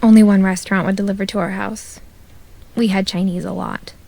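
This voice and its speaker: A woman voicing a young woman who narrates her return to her family home, in a quiet, wistful storytelling manner. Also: medium pitch, fairly even intonation, fairly quick, vocal fry, slight background noise, lightly acted. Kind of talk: game narration.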